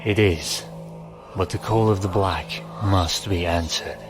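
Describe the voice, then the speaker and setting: A man playing a cold, solemn religious zealot villain in a science fiction audio drama, formal and deliberate. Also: low voice, music in the background. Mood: cold, reverent. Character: solemn, cold male zealot cult brother.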